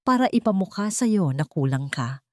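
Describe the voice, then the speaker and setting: A woman's voice: medium pitch, very animated, normal speed, no room sound, studio quality, natural voice. Woman voicing an earnest young heiress CEO. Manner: earnest, tearful.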